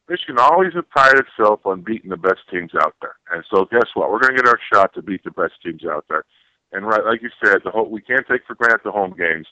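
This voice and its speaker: A man's voice: low voice, pushed and bright, no echo, lo-fi sound. A man speaking as a podcast guest in a casual sports talk, earnest and repeating phrases for emphasis. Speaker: podcast guest, former college football player.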